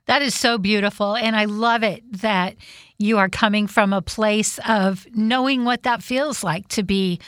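A woman's voice: mid-range voice, fairly even intonation, measured pace, fairly bright tone, no room sound, clean studio sound. A woman hosting a community interview show, welcoming and affirming her guests. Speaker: host.

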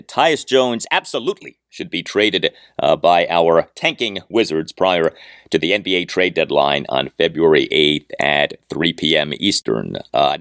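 A man's voice: fairly low pitch, a bit bright, dry recording, clean studio sound. An American man hosting a sports podcast, delivering scripted commentary in an emphatic, detail-heavy way.

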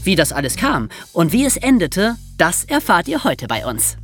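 A woman's voice: on the low side, very animated, moderate pace. A woman co-hosting a podcast in a casual presenting style, with music behind her. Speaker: podcast co-host.

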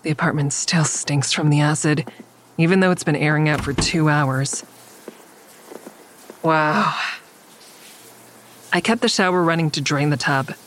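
A woman's voice: low-pitched, measured pace, pauses often, a little hiss or hum. An actress playing an uneasy young woman narrating her own story in a hesitant, confiding way in an audio drama. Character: uneasy young female first-person narrator in over her head.

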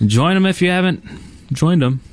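A man's voice: high voice, animated, moderate pace, dry recording. A man co-hosting a podcast, talking informally with the others. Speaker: podcast co-host. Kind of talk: casual group podcast chat.

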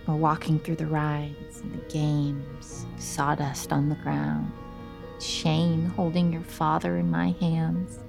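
A phantom mother in an audio drama. She is calm, tender and wry, with a lowish, gravelly voice full of fry over faint music. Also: heavily acted. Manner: soothing, wry, matter-of-fact. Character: imagined apparition of a mother, calm, knowing, tender.

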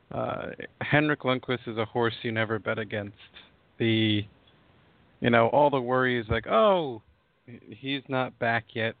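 A man's voice: mid-range voice, vocal fry, no room sound. A man talking as a podcast guest over a video call, thoughtful and hesitant. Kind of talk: casual sports talk. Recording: tinny, dry call audio.

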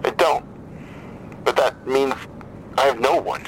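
A mid-pitched man is a despairing, grieving father on a crisis line in an audio drama. He talks haltingly, weary and bitter, with faint noise behind him. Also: fairly even intonation. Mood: bitter, skeptical. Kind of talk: naturalistic audio drama acting.

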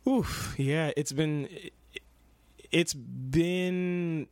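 A man's voice: on the high side, very slow, no room sound. A young man from Kenya, an actor and dancer, talking through his path in a casual interview, rambling with many restarts and laughs.

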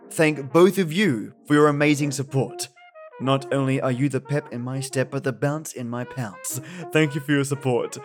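A man hosting a podcast, giving a scripted introduction and addressing listeners in an effusive, playful, affectionate way. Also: mid-range voice. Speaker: podcast host. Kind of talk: warm scripted show introduction with listener thanks. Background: music.

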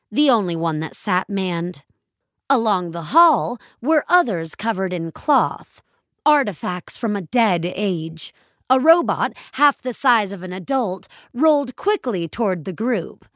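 A woman reads dramatic fiction as a narrator, taking her time over each phrase. Her pitch moves in lively swings, and the recording is dry and close, with no room around the voice. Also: no room sound.

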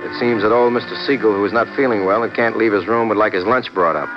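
A man's voice: lowish voice, quick pace, over music, lightly acted. An actor playing a breezy, streetwise American man in a hardboiled old radio drama, casual, wry and unruffled. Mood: wry narration. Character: breezy, streetwise male drifter and amateur sleuth. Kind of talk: 1950s hardboiled radio drama acting and narration. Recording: muffled vintage radio broadcast.

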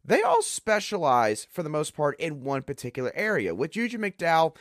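A man with a hoarse, slightly groggy voice hosts a sports podcast on his own. His pitch sits a little high and swings up and down a lot as he talks through the players with emphasis. The sound is close and dry, with no room echo. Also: huge pitch swings, moderate pace. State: hoarse, under the weather. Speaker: podcast host. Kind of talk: solo sports podcast analysis.

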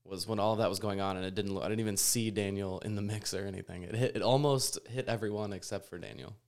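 A man's voice: on the low side, fairly even intonation, fast, darkish tone. A man talking casually about soccer as a podcast panelist, blunt and opinionated. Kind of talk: casual soccer post-match discussion.

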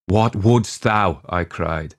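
A man's voice: low-pitched, slow pace. A man voicing an anguished young huntsman in love, pleading and trembling in archaic storybook speech. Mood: fearful, confiding. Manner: trembling, imploring, archaic diction.

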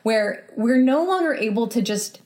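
A woman's voice: fairly high pitch, animated, moderate pace, darkish tone. A woman giving thoughtful, conversational interview answers, reasoning aloud and rephrasing as she goes. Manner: reflective, exploratory, repeats and rephrases as she reasons. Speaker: interview guest, writer.

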